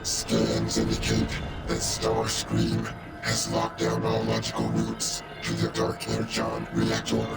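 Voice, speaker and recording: Very gravelly, analytical robot officer. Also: male voice, on the low side, takes it slowly, full character voice. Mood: clinical. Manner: formal, clinical reporting. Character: cold, analytical male robot intelligence officer. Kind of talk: dramatic video game voice acting. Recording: echoey, distant, loud music behind.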